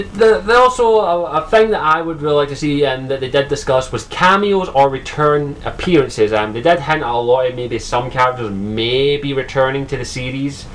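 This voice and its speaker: A man's voice: on the high side, slight background noise, poor audio. A young man from Scotland with a Scottish accent, talking casually and profanely about a game, full of ums and restarts. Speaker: gaming vlogger. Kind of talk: casual solo commentary.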